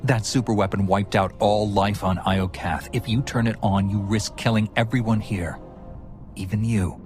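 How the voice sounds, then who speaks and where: A heroic game commander voiced by a man with a very low voice. He is resolute and earnest, speaking with plain authority. Light score plays behind. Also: steady tone, moderate pace, darkish tone, faint music behind, natural voice. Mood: urgent. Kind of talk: cinematic game dialogue.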